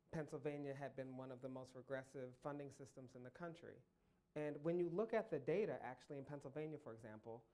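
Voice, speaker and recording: Measured professor thinking aloud. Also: male voice, on the high side, darkish tone. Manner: measured, thinking aloud in long careful sentences. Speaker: award-winning professor answering audience questions. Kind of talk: public lecture Q&A.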